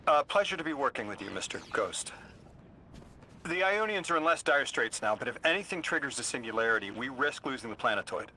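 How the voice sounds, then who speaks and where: A bright, crisp-edged male voice for a bookish translator in a video game. He is courteous and eager, formal but easily flustered, with faint noise behind him. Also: medium pitch, rough recording. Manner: hesitant, apologetic, formal and eager.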